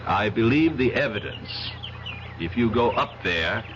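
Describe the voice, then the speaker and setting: Animated, sardonic doctor. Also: male voice, lowish voice, a little slow. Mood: sardonic, insistent. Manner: sardonic, emphatic. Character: sardonic, insistent male doctor who believes superstition. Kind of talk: radio drama acting. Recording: noisy, dull old radio recording.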